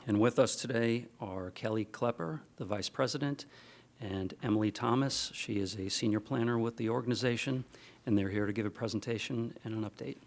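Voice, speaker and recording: A man who is a county planning director, giving a formal, measured staff introduction at a public meeting. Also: medium pitch.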